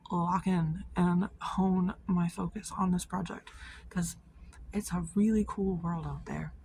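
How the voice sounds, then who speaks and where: A woman's voice: on the low side, darkish tone, slight background noise, poor audio. A woman giving casual vlog commentary in an informal, slangy way, peppered with "like" and swearing. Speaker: video creator.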